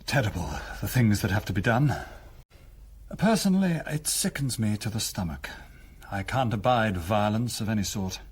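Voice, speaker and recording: An actor playing a chilling Nazi SS chief in a radio drama, politely pompous and measured, with quiet menace and a husky voice. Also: male voice, on the low side, lively intonation. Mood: mock-pious menace. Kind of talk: broadcast radio drama acting.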